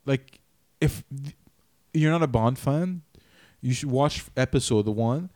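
A man co-hosting a podcast who talks in a halting way with many restarts while discussing a miniseries. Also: medium pitch, darkish tone, no echo, studio quality. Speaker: podcast co-host. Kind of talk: casual review chat.

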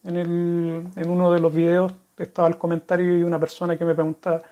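A man's voice: a little high, monotone, fairly quick, muffled recording. A man with a Chilean accent, chatting casually to viewers and answering their questions in a rambling, friendly way. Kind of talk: casual chat to camera.

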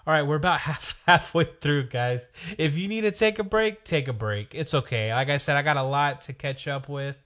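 A man hosting his show solo, talking in a rambling, chatty way and correcting himself, his voice raspy from a cold. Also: fairly high pitch, moderate pace, a bit bright. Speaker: podcast host. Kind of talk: casual solo chat.

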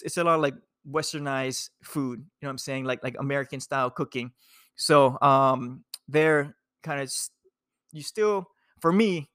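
A man's voice: medium pitch, a bit bright, no echo, studio quality. A young man from Hawaii, a nutrition coach, talking casually to viewers in a laid-back, rambling way full of fillers and "dude".

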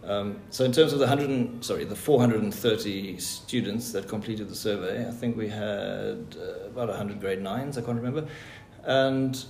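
Low-voiced man interviewing in an echoey room. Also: normal speed, few pauses, a little mellow. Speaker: teacher interviewing students. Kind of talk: casual group interview. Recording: roomy echo, faint background noise.

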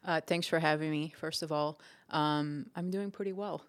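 A woman's voice: deep voice, fairly even intonation, moderate pace. A young adult woman from Portugal, a tennis coach answering interview questions thoughtfully, with many ums and restarts.

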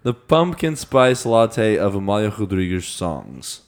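Low-voiced man stumbling through podcast chat. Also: sing-song, unhurried. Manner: laid-back, stumbles over words and laughs. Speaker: podcast co-host. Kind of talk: casual podcast banter.

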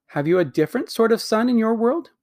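A man voicing a proud, ruthless queen with a slow, stately, commanding delivery. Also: high-pitched, lively intonation, a little mellow, no echo, clean studio sound, pitched above the performer's own voice. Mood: imperious.

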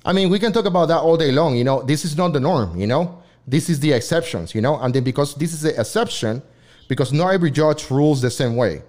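A man's voice: on the high side, lively intonation, fast talker, darkish tone. A man hosting a casual debate chat, rambling with frequent restarts and swearing freely.

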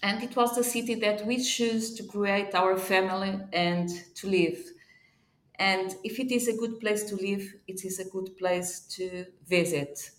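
Echoey recording, woman, Portuguese accent, mid pitch, careful phrasing, interview guest. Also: unhurried. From Portugal, born in Africa. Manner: careful phrasing, searching for words. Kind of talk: promotional interview answer. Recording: echoey room sound.

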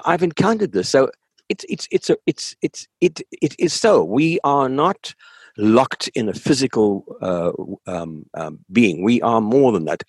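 An older man with a South African accent and a husky voice, telling stories conversationally in an interview, emphatic and often repeating words as he goes. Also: mid-range voice, no room sound. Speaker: interview guest, author. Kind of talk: conversational storytelling.

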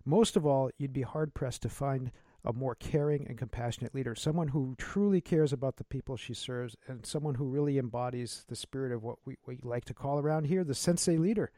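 A man's voice: medium pitch, a little fast, mellow, no room sound. Upbeat male podcast host, dry sound. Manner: enthusiastic, chatty, with many fillers. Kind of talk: interview hosting.

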